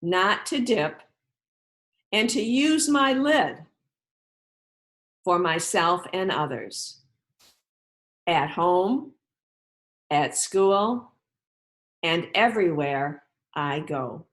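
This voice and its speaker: A woman author reading aloud and talking directly to children in an encouraging, instructive way with deliberate, emphatic phrasing. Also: on the low side, animated, fairly bright tone.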